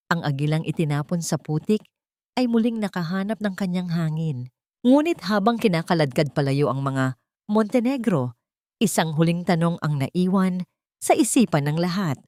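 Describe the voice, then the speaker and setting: A woman narrating a drama story in an expressive, dramatic way. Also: low voice, no room sound, studio quality.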